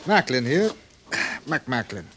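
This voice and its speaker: A breezy, tough American official from a 1950s radio drama. His pitch swings widely around a middle register, and he talks in blunt, folksy slang. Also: very animated, slow pace, noisy background. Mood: joking, breezy.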